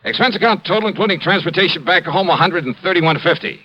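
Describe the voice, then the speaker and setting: An actor playing a hard-boiled, wry insurance investigator in 1950s radio drama style, with a clipped, sardonic delivery. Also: male voice, sits high, quick pace, highs cut off, close to an everyday voice. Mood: wry, reflective. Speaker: star of a radio detective drama. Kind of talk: 1950s radio detective acting and narration. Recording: roomy, noisy old radio recording.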